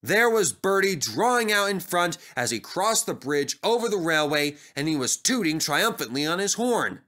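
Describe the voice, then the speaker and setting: A man narrating a scripted children's story as an animated storyteller. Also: a little high, normal speed.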